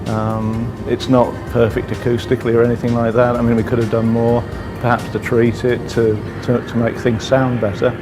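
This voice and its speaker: A man speaks in an informal interview at a middling pitch. He is thoughtful and halting, often repeating a phrase, while music plays clearly behind him. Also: completely flat delivery. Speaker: event participant giving an interview.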